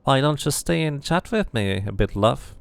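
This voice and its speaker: Man putting on a high-pitched, coy harlot. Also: animated, normal speed, vocal fry, clear, resonant tone, dry recording. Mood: coy, inviting. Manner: coy, teasing. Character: tired, coy street harlot woman, mercenary.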